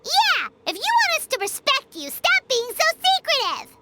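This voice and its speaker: A woman's voice: very high voice, huge pitch swings, normal speed, very noisy, full character voice. A woman voicing a tiny, blunt female companion creature who teases and hurries others along in a video game. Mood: teasing. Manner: blunt, teasing. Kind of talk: game voice acting.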